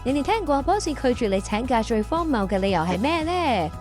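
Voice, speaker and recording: A woman's voice: high-pitched, big pitch swings, fairly quick, loud music behind the voice. A woman hosting and narrating a comic radio drama in a playful, exaggerated storytelling style.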